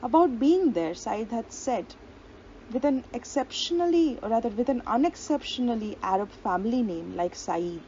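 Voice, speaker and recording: A woman's voice: a little high, dark tone. Woman lecturing over dull lo-fi audio. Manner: reads long quotations aloud, occasional fillers and restarts. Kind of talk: explanatory lecture. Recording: dull lo-fi audio with faint hiss.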